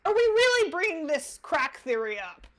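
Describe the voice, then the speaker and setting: A woman with a high, very expressive voice reacts casually to a show. She drops playful, exasperated asides like a host among friends. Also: normal speed.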